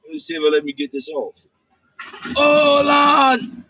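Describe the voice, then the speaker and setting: A man bantering loudly on a live stream, taunting and laughing, and calling out over and over. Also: very high-pitched, sing-song, measured pace, noisy background. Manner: taunting, laughing, repeats calls of "hey, yo". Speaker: podcast guest.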